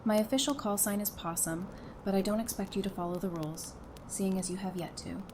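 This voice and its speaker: A woman playing a sassy, sarcastic, quick-witted wife with dry, teasing delivery in an audio drama. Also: medium pitch, close to an everyday voice. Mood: mock-stern. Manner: dry, teasing, matter-of-fact. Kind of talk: fan audio drama acting. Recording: clearly noisy background.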